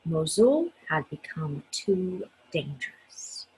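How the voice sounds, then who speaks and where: A woman with a breathy voice reads a story aloud expressively, speaking directly to young listeners and adding her own asides. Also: low-pitched, sing-song, slow pace, noisy background. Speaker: children's story reader.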